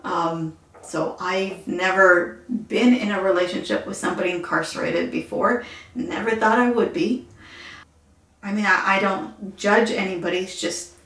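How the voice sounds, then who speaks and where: Ukrainian-accented woman vlogger, mid pitch, quiet halting delivery, roomy echo. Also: fairly even intonation. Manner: soft-spoken, halting with fillers. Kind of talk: casual personal vlog.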